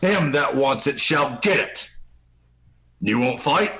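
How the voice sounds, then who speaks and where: Menacing pirate captain, man performing, comedic read; slow, taunting orders with big melodic swings. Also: mid-range voice, expressive, a crowd in the background. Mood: commanding, menacing. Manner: taunting, theatrical, drawling commands. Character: swaggering, menacing old pirate ringleader, man. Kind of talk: live comedic table read.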